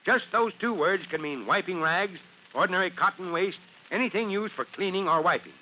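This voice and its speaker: Fatherly fire chief, higher male voice, forceful, 1950s radio acting, muffled recording. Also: brisk. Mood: instructive. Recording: muffled old radio recording, faint noise.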